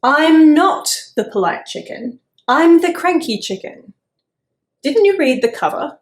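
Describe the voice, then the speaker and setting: A woman's voice: medium pitch, drawn-out words, a little mellow. A small, sulky hen snaps out her lines with a stubborn huff, as a woman reads her aloud. She grumbles under her breath and answers everyone with irritation. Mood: grumpy. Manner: grumbling, snappish. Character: grumpy loud little hen, stubborn and huffy.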